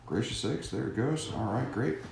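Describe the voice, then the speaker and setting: A man's voice: mid-range voice, lively intonation, moderate pace, creaky. Folksy middle-aged man, echoey kitchen. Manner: folksy, instructive, addresses kids and parents directly. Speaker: fire safety educator. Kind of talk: cooking demonstration with safety tips. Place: home kitchen, indoors. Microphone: camera mic from across the room. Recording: echoey kitchen, mic far off, audible noise.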